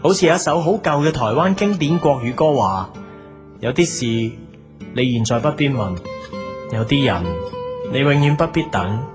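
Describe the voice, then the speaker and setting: A man playing a hapless, defensive young cafe owner in an audio drama, speaking Cantonese with a Hong Kong accent in an expressive, exasperated way. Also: medium pitch, moderate pace, close to an everyday voice. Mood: dejected, reflective. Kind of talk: audio drama with introspective narration and dialogue. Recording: music behind the voice.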